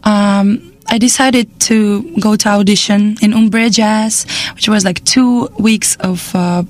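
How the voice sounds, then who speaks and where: A young woman from Estonia speaking English with an Estonian accent, a jazz singer talking as a radio guest, pausing often to search for words and laughing often. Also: medium pitch, fairly even intonation. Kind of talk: casual radio interview.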